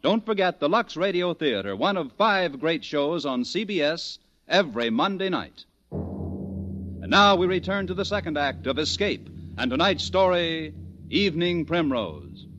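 A male radio announcer with a fairly high voice delivers scripted copy in 1940s network style. The sound is a slightly dull vintage recording. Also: big pitch swings, measured pace, a bit bright, faint music behind.

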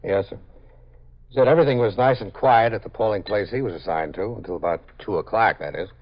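A man's voice: fairly low pitch, fast. A man with an American accent playing a tired, wry detective lieutenant with an easygoing manner in a 1950s radio drama. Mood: wry, amused. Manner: easygoing, anecdotal, deferential. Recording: muffled 1950s radio recording.

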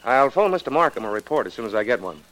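An actor playing an earnest, businesslike FBI agent, plain-spoken and obliging, in a 1940s radio drama. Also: male voice, mid-range voice, big pitch swings, quick pace, fairly bright tone, poor audio. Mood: brisk, obliging.

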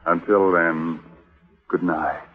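A man's voice: low voice, steady tone, slow, narrow, tinny audio. A man hosting a 1940s radio mystery show in a theatrical, sly, tongue-in-cheek manner. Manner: theatrical, sly, macabre joking. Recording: thin 1940s radio recording.

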